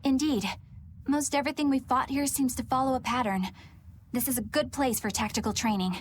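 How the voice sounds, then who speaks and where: A woman's voice: high voice, fairly even intonation, a little fast, a little hiss or hum, natural voice. An actress playing a dutiful female inspector in a video game, explaining things formally and precisely. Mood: explanatory.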